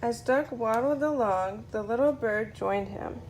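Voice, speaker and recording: Slow, high-voiced woman reading a story. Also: slight background noise, rough recording. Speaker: storyteller reading a children's book aloud. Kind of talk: read-aloud story narration for a small child.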